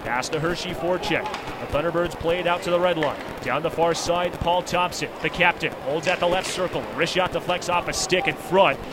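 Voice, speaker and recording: A man's voice: fairly high pitch, a little fast, background noise. A man giving live radio play-by-play of ice hockey, with clipped, present-tense calls. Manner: clipped present-tense calling, rising to excited calls at big moments. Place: broadcast position high above the rink in an arena.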